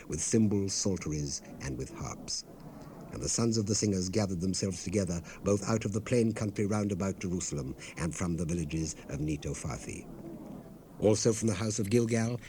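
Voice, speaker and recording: A man narrating the Bible in a solemn, formal, measured way, letting his phrases fall away at the end. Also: sits low, mellow. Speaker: scripture narrator. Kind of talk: solemn dramatized Bible reading. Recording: hissy 1970s cassette rip.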